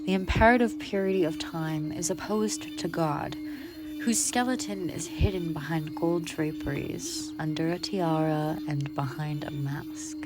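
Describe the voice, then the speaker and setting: A woman giving a deliberate, solemn dramatic reading of literary prose. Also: deep voice, expressive, heavy background noise. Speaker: reader. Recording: music behind the voice. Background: music.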